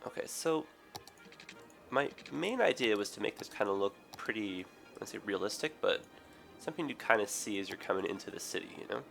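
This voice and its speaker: A man with a raspy, hoarse, tired voice, rambling through casual game commentary with many ums and self-corrections. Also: fairly low pitch, expressive, brisk, fairly bright tone, faint music behind. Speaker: gaming video host. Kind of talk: casual let's play commentary.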